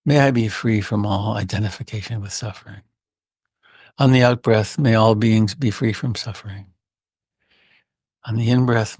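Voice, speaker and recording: A man leading a guided meditation in a gentle, unhurried way with long pauses and a creaky voice. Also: medium pitch, dry recording, clean studio sound. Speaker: meditation teacher.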